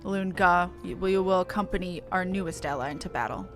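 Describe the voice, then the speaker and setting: A woman's voice: fairly low pitch, fairly bright tone, soft background music. A woman voicing a stern, proud beastman war leader in a game, speaking solemnly and formally. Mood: grave, solemn. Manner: solemn, slow, formal delivery. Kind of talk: reading game dialogue in character.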